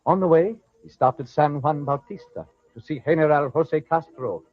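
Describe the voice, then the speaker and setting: A man giving formal, measured scripted narration for an old-time radio drama. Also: a little high. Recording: dry, muffled old radio recording.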